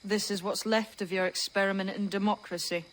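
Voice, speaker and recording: A man's voice: high voice, flat delivery, brisk, a bit bright, slight background noise. An actor playing a disillusioned pirate in a video game, scolding his friend in an exasperated, reproachful way. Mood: bitter reproach. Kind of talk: dramatic video game acting.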